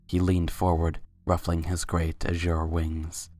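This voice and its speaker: Male narrator for scripted fiction reading: deep register, little pitch movement. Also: completely flat delivery, measured pace, darkish tone.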